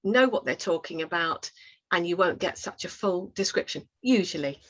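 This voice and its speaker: A woman's voice: on the low side, expressive, normal speed, a bit bright. A woman giving conversational, explanatory advice as a workshop speaker, often weighing things up with hedges. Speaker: oral historian and workshop speaker. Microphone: video call. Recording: dull lo-fi webinar audio.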